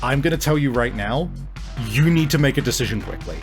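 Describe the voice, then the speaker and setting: A man narrating a tabletop roleplaying game as game master, improvising suspenseful description in a halting way. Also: mid-range voice, expressive. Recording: music behind the voice. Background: music.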